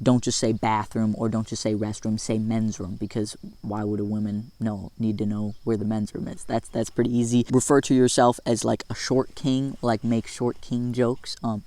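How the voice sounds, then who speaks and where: A man's voice: medium pitch, level tone, normal speed, hardly any pauses, mellow. A young adult man giving casual advice in a vlog, upbeat and chatty, full of "like" and laughing at himself. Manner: upbeat, chatty, self-deprecating with filler likes. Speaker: vlogger.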